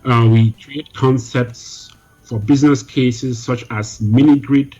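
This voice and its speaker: A man raised in Nigeria and Germany, answering interview questions in a measured, explanatory way with frequent ums. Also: medium pitch, fairly even intonation, darkish tone, lo-fi sound, faint music behind. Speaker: guest, energy program head.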